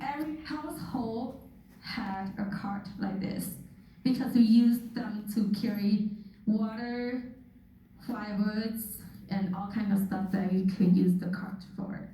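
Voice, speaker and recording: Young woman from Thailand, earnest testimony, mellow voice, distant mic, booming hall echo. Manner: slow, earnest, with soft laughs. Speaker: guest speaker. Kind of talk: personal testimony with slides. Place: church hall. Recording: echoey hall from far off, faint noise.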